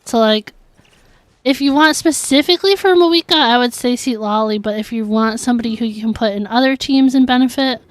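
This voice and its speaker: High-voiced woman chatting on a game stream. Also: fairly quick, no echo. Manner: chatty, thinking aloud, answering viewers. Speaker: game livestreamer. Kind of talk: casual chat while playing a video game.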